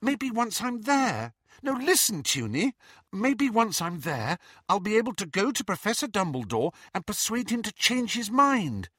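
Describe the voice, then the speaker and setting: A man's voice: high-pitched, big pitch swings, a little slow, sharp tone, husky, no room sound, clean studio sound, pitched above the performer's own voice, heavily acted. A man voicing a sensitive but proud young girl in an audiobook, earnest, pleading and wounded in manner. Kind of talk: audiobook character reading.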